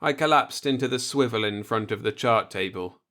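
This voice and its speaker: A man's voice: medium pitch, moderate pace, pushed and bright. A man reading a first-person science fiction story aloud as a scripted audiobook narrator.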